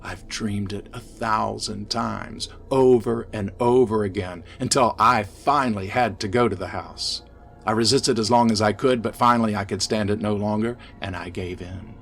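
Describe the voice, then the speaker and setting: A man voicing an insane, haunted asylum inmate in a gothic horror story, fervent and ominous, swinging from hushed to ranting. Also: lowish voice, moderate pace, creaky, soft background music. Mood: hushed, intense.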